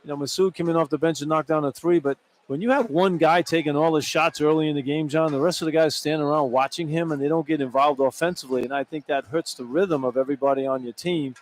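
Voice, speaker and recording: A man with a fairly high voice gives live basketball analysis on the radio. He explains things conversationally, with light arena noise behind him. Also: steady tone, fast talker, hardly any pauses. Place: courtside in a large indoor arena.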